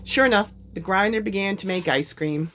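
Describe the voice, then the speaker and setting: A woman's voice: mid-range voice, fairly quick, a bit bright, a little hiss or hum. A woman reading a children's story aloud as narrator. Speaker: storybook reader.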